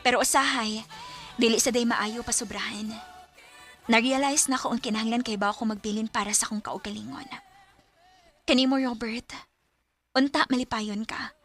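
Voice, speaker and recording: A generous young woman devoted to love, in a Bisaya radio drama. Her voice is high and sharply bright, with a Filipino Bisaya accent. She speaks with open, earnest emotion. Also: fairly even intonation, normal speed, long pauses, soft background music. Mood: sad, reflective. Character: generous lovestruck young woman, giving everything to boyfriends.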